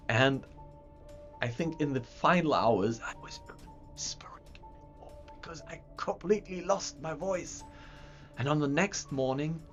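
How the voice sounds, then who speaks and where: A man hosting a livestream, chatting casually in a rambling way with stumbling restarts and repeated words. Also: medium pitch. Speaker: livestream host showing map software. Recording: dull lo-fi sound with faint music.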